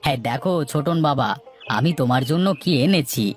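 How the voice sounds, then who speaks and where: A man's voice: a little high, brisk, lightly acted. A man playing an earnest village father, music behind. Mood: fond, cheerful. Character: devoted, anxious village father and husband. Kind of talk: cartoon story dialogue.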